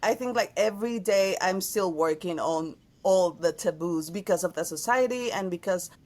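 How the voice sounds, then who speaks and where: A woman's voice: on the low side, normal speed. A woman from Mexico with a Mexican accent, a guest in a casual interview, talking expressively, dropping in lots of "like" and slipping into Spanish. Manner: expressive, heavy use of "like", switches into Spanish. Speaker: guest, actress and singer.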